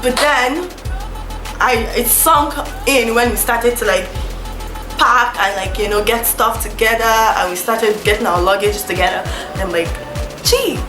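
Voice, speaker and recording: A young woman with a Nigerian accent chats straight to camera, her voice sitting a little high, and she keeps saying "like" and "you know" as she corrects herself mid-thought. The room is echoey, and music plays clearly behind her. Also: a little slow. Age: young adult. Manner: chatty, full of fillers and self-corrections. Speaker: vlogger. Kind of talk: casual storytelling to camera. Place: small indoor room, seated before a plank backdrop.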